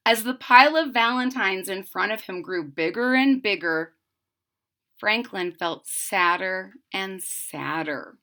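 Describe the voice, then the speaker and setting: High, bright, unhurried woman reading to children. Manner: expressive, simple explaining for young children. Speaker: storybook reader. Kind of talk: read-aloud of a children's picture book with casual asides.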